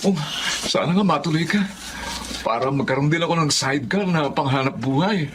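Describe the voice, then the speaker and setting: A man's voice: a little high, animated, brisk, close to an everyday voice. A man playing a dutiful, helpful elder brother from a poor family in a Filipino radio drama, with a Filipino accent. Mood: earnest. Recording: noisy radio drama track.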